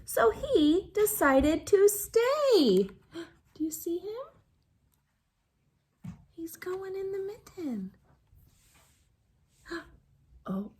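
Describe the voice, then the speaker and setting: A woman reading a children's storybook aloud like a teacher at storytime, expressive and engaging young listeners. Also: sits high. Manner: expressive, drops to hushed excited asides with audible gasps.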